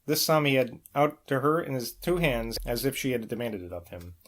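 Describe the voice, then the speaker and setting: A man reads a literary novel aloud in the manner of a homemade audiobook. His voice sits at an ordinary mid pitch, and he delivers long scripted sentences with an occasional small stumble. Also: lively intonation, normal speed. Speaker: audiobook reader.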